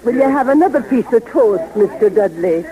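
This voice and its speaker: An actress playing a bossy, nagging boarding-house landlady in a 1930s radio comedy, with a shrill delivery. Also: lively intonation, moderate pace, dark tone, lightly acted, poor audio. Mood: brisk.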